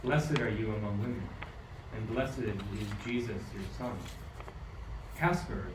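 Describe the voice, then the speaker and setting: A man's voice: lowish voice, unhurried, natural voice. Far off echoey recording, guy doing a solemn formal king in a radio play. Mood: reverent. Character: Caspar, reverent king and wise man. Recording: distant, echoey room with clear noise.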